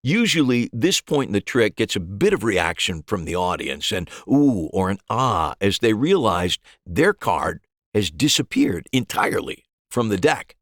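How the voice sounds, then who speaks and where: A man's voice: on the low side, big pitch swings, a little slow, dry recording, clean studio sound. A man narrating a scripted first-person story as a storyteller.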